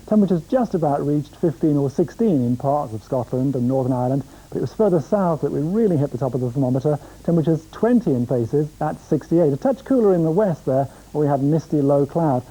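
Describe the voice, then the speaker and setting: Male forecaster, British, very soft dark tone, conversational presenting. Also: on the high side, rough recording. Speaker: weather forecaster.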